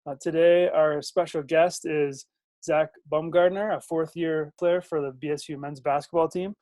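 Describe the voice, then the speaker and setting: A man with a fairly high voice works as an interview host. His delivery is that of a presenter putting questions to a guest, and the sound is very dry, with no room echo. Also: fairly even intonation, moderate pace, a little mellow. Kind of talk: hosted interview.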